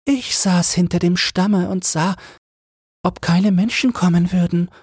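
A young mermaid from a storybook, played by a man, high and very breathy. The girl is gentle and lovelorn, speaking with tender, wistful devotion in a close, dry sound. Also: a little slow, no echo, exaggerated voice. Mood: wistful sorrow. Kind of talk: fairy tale audiobook character voice.

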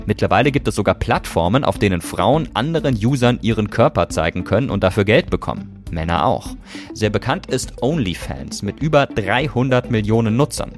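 A man, hosting a podcast with scripted narration. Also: fairly low pitch. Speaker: podcast host.

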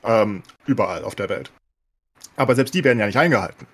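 A man with a fairly low voice talks very quickly. He explains things in a loose, rambling, colloquial way, as a podcast co-host chatting casually with English slang mixed in. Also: animated, fairly bright tone, breathy edge.

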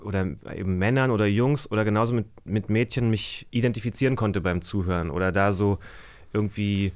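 A man's voice: fairly low pitch, brisk, darkish tone, smooth. A man speaking as a guest in a casual interview, reflective and often searching for his words. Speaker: podcast guest.

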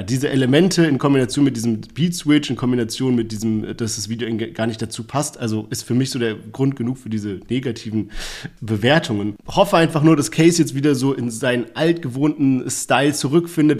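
A man's voice: medium pitch, darkish tone. A man co-hosting a podcast, chatting loosely about rap releases with plenty of fillers and hedges. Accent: Palatinate German. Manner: loose, filler-heavy, hedging. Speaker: podcast co-host.

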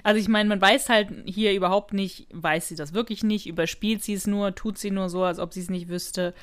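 A woman's voice: mid-range voice, steady tone, fairly quick. A woman co-hosting a podcast, talking casually and musing aloud in colloquial phrases. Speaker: podcast co-host. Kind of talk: casual chat reviewing an audio drama.

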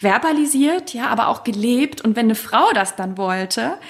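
A woman who grew up in Sweden, a native Swedish speaker, hosting a podcast interview and engaging personally and warmly with her guest's story. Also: fairly high pitch, animated, moderate pace. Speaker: podcast host. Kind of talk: conversational interview.

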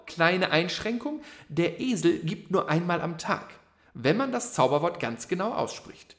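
An actor playing a rich, breezy and generous royal brother in a fairy-tale radio play, with a theatrical, exclamatory delivery. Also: male voice, high voice, big pitch swings, a little slow, a bit bright, full character voice. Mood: showy, instructing.

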